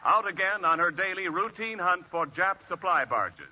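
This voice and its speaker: A man's voice: high-pitched, expressive, moderate pace, sharp tone. A man with an American accent announcing in the emphatic, declamatory style of 1940s radio advertising. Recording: lo-fi, muffled 1940s radio recording.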